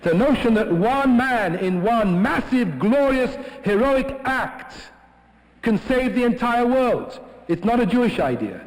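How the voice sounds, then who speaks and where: A man's voice: very animated, a little slow. A man, a rabbi, delivering a sermon with emphatic stress and chanted Hebrew quotations. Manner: emphatic, sweeps up high for stress, quotes Hebrew texts. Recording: echoey hall, long reverb.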